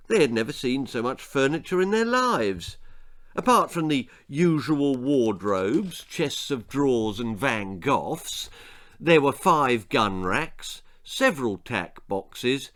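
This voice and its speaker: A man's voice: mid-range voice, a bit bright. A man with a British accent reading his own story to children in a dramatic storytelling style. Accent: British English. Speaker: author reading own stories.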